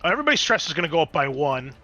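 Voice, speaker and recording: A man's voice: on the high side, big pitch swings, racing, pushed and bright, lo-fi sound, soft background music. A man running a tabletop roleplaying game as game master, switching between conversational rules talk and dramatic scene description.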